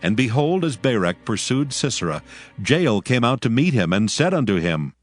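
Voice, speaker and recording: A man narrating a dramatized scripture in archaic English, with solemn, measured storytelling. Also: mid-range voice, very animated, faint music behind.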